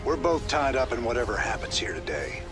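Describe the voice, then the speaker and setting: Guy doing gruff space soldier, medium pitch, lively delivery, big music behind him. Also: a little fast, lightly acted. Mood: determined. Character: gruff, determined male battle-worn space soldier. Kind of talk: video game cutscene acting.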